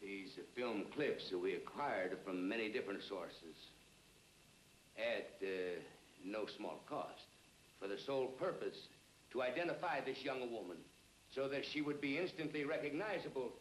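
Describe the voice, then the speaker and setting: An actor playing a crime syndicate man giving a deliberate, emphatic, halting briefing in a 1960s TV spy series. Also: medium pitch, lively intonation, lightly acted, poor audio. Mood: measured, emphatic. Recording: hissy old 1960s TV soundtrack.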